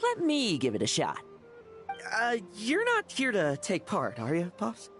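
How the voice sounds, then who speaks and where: A man's voice: sits high, wildly swooping pitch, a little slow, a bit bright, faint music behind, heavily acted. A man playing a cocky, teasing, irreverent younger warrior in a video game, with a breezy, wry delivery. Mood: wry, curious. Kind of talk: video game character acting.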